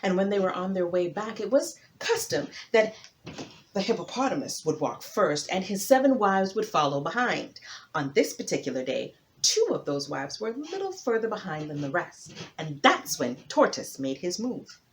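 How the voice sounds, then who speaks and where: A woman narrating a folktale as a storyteller, with theatrical emphasis and dramatic pauses. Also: fairly low pitch, sing-song.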